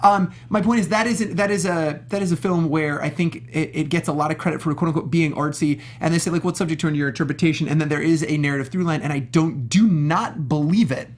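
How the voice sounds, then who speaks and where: A man's voice: fairly high pitch, fast, few pauses. A man co-hosting a casual film review panel, giving opinionated, discursive takes. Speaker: co-host of a film review show.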